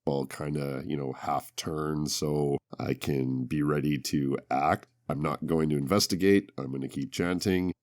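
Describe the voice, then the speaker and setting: A man's voice: extremely low pitch, steady tone, measured pace, few pauses, a little mellow, no room sound. A man playing a tabletop roleplaying game, talking casually with wry, theatrical reactions. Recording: music behind, close dry sound. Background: music.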